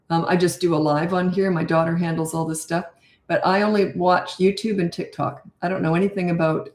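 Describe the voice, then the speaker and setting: An older woman, a parenting coach, talking blunt and chatty with a raspy voice on a live-stream Q&A. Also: fairly low pitch, a little mellow, echoey room.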